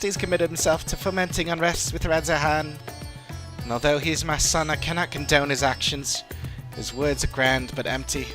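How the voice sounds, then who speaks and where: A man's voice: on the high side, voice pushed up, lightly acted. A man voicing a regretful father in a fantasy game, speaking solemnly and formally of his disappointment. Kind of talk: dramatic reading of game dialogue.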